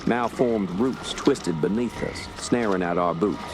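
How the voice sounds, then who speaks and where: An actor playing a steady, authoritative police inspector, recounting a grim case in a radio drama. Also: male voice, on the low side, measured pace, noisy background, natural voice. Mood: grim, foreboding. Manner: composed, authoritative. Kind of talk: radio drama narration and dialogue. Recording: music under the voice.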